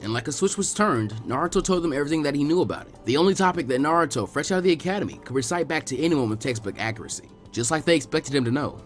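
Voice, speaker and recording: A man narrating a fan fiction story as an expressive scripted reading. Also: fairly high pitch, very fast, hardly any pauses, soft background music.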